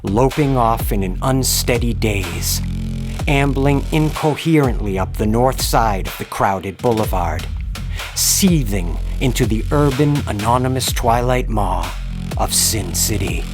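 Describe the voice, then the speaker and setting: A man narrating a dark horror story in a dramatic, deliberate and ominous scripted style. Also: mid-range voice, animated. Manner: ornate, deliberate, ominous phrasing. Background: background music.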